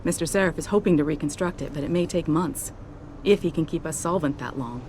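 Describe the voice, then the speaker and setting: An actress playing a loyal, candid female pilot in a video game, speaking in a low, level, often soft voice. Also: a little fast, a little hiss or hum, natural voice. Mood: steady.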